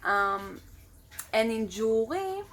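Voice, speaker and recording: Slow, reflective Israeli woman in her twenties. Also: a little high, sing-song, fairly bright tone, slight background noise. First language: Hebrew. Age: 20s. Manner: reflective, searching for words with many fillers. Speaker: vlogger. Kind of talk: casual personal vlog monologue.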